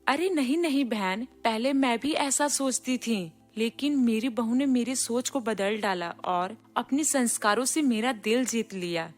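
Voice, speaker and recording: A woman's voice: a little high, unhurried, hardly any pauses, faint music behind, lightly acted. A woman voicing a stern, proud village mother-in-law in a storybook cartoon, with an emphatic manner. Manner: scolding, emphatic.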